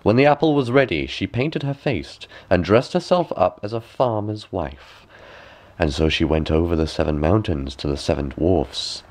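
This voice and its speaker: A man's voice: low voice, animated, normal speed, darkish tone. A man reading a fairy tale as a bedtime story, with dramatic, careful phrasing.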